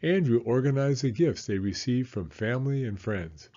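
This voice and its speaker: A man reading a children's story aloud as an animated narrator. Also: mid-range voice, moderate pace, highs cut off.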